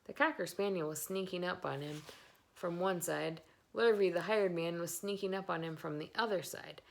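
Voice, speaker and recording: Lower-voiced woman reading a children's story. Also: moderate pace, vocal fry. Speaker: story reader. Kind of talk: expressive children's book read-aloud narration.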